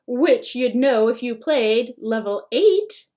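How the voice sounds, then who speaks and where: A woman voicing a panicking teenage boy who pleads in a high-pitched voice. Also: animated, slow pace, darkish tone. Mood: pleading panic.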